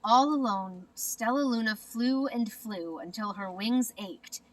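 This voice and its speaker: A woman reading a children's story aloud as an expressive narrator. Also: a little high, slow pace, far from the mic, poor audio. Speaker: storybook reader.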